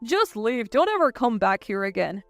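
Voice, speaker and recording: Woman doing a dismissive selfish ex-husband, curt, crisp voice, dry recording, story drama. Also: a little high, very animated, normal speed, fairly bright tone, no room sound, soft background music. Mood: angry. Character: selfish, defiant ex-husband, an adult man, dismissive.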